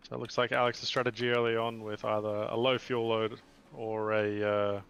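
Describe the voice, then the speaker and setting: A man's voice: lowish voice, flat delivery, normal speed, a bit bright, lo-fi sound. A man giving casual live sim racing commentary as a co-commentator, musing and somewhat hesitant. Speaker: motorsport stream co-commentator. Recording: clear background noise on stream.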